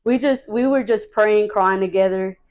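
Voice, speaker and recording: A woman around thirty chatting casually in a couple's Q&A video, soft-spoken and reflective. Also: mid-range voice, moderate pace, lo-fi sound. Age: 30s. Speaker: vlogger, expecting mother.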